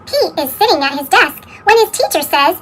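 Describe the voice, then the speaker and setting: A woman reads children's picture books in an animated, question-and-answer storytelling manner, her voice altered with a sped-up chipmunk effect. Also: very high voice, normal speed, a little hiss or hum. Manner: exclaiming, question-and-answer delivery for young children. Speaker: children's picture book reader. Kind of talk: animated storybook narration with sung refrains.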